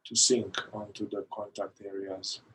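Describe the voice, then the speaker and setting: A man leading a guided meditation in a calm, gentle manner, pausing between short phrases. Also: on the low side, a little hiss or hum, rough recording. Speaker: meditation guide, somatic therapist.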